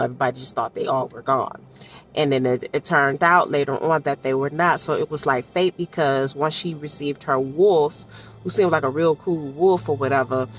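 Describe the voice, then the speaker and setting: A woman giving casual, unscripted commentary in an animated, colloquial way, repeating phrases for emphasis and checking in with the listener. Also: low voice. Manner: chatty, often checks in with the listener. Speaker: audiobook reviewer giving personal commentary.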